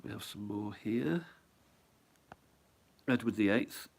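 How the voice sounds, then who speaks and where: Congested, gravelly middle-aged man musing. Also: lowish voice, slow. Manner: rambling, musing aloud, asks himself questions. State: congested with a head cold. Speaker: stamp collector showing his album. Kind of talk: casual show-and-tell.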